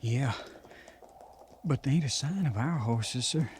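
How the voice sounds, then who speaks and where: An actor playing a young, loyal, hot-headed cavalry soldier with a rustic American accent, earnest and plain-spoken, in a 1950s radio western. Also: male voice, mid-range voice, measured pace, darkish tone, close to an everyday voice. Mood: watchful. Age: young adult. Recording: noisy vintage 1950s radio recording.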